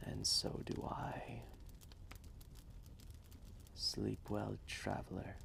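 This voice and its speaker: A man whispering as an ancient gentle dragon. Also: on the low side, completely flat delivery, vocal fry, heavily acted. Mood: soothing. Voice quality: soft whisper. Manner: slow, soothing, comforting. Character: ancient gentle male dragon, protective, patient. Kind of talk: sleep roleplay ASMR. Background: crackling fire ambience.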